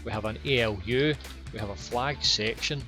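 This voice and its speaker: A Scottish man guiding viewers through his online course with plain, unhurried explanations and a few ums and repeated words. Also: medium pitch, music in the background. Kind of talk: screen-recorded course walkthrough.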